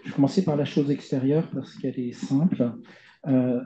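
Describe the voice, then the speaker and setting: A middle-aged man speaks in a conversation on stage, pausing often and circling back over his words. His voice is dark and mellow. The recording is lo-fi and echoes around the room. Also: mid-range voice, steady tone. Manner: halting, many fillers and repeated words. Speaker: playwright guest. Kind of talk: conversational interview answers. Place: stage event before audience. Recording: dull lo-fi roomy recording.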